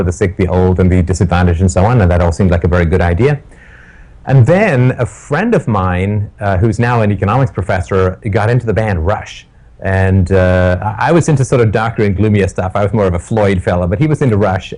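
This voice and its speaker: Middle-aged guy, lowish voice, telling his story in an interview, colorful wild metaphors. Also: darkish tone. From born in Ireland, raised in England and Canada. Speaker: interview guest.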